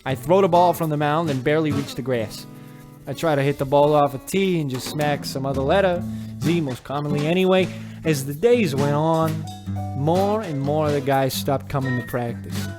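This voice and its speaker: A man's voice: a little high, moderate pace, close to an everyday voice. A man playing a naive, dim-witted young ballplayer, guileless and wholesome, in a comedic radio play. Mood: rueful narration. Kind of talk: old-time radio comedy acting with narration. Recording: music behind the voice.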